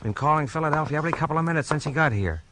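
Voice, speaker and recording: An actor playing an easygoing, amiable small-town deputy with a homespun, folksy manner, in 1940s radio crime drama style. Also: male voice, on the high side, lively intonation, noisy background, close to an everyday voice. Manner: folksy drawn-out exclamations, homespun.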